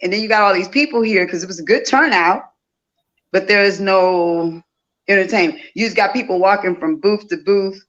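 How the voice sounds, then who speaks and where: A woman's voice: mid-range voice, moderate pace, a bit bright, highs cut off. A woman, telling stories casually and repeating phrases for emphasis, as a guest in an interview. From Louisiana, United States. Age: 50s. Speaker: interview guest, festival organizer. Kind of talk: casual conversational storytelling.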